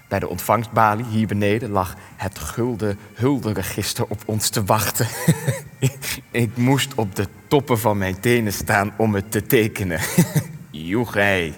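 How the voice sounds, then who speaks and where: A frail, deep-voiced rambling old veteran. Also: male voice, breathy edge, in a large hall. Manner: hesitant, rambling, musing, with stammered repetitions. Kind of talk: radio drama acting.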